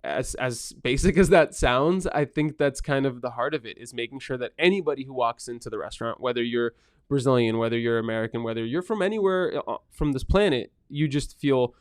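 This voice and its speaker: A man's voice: mid-range voice, fairly quick. An earnest man talks in a casual podcast conversation about his work running a restaurant. He often repeats words as he gets a thought going. The sound is dry and close, with no room echo. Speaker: restaurant owner.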